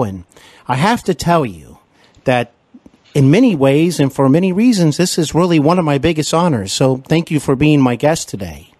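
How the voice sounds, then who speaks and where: A man's voice: mid-range voice, darkish tone, no echo. Courteous American man hosting, close dry sound. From Cincinnati, Ohio, United States. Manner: courteous, effusive, wordy. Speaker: podcast host and interviewer. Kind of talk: conversational interview.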